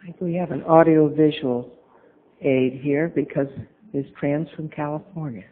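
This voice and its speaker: A woman chairing a congressional hearing, speaking in a courteous, measured way. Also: sits low, fairly even intonation, slight background noise. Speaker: committee chair. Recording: tinny lo-fi archive recording.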